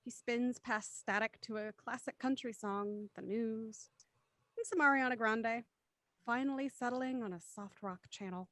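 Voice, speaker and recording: A woman reading scripted stage directions for an audio drama in a crisp, matter-of-fact way. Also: a little high, measured pace, a bit bright, dry recording. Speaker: narrator.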